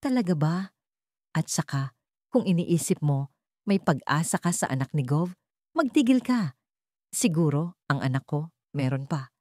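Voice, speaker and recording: A woman's voice: sits low, animated, a little slow, long pauses, no echo, clean studio sound. A woman voicing a scheming, domineering stepmother in a dramatic audio storybook, with a scolding, insistent manner. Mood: angry, threatening. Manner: scolding, accusatory, sharp-tongued. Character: scheming, domineering stepmother with false sweetness and venom.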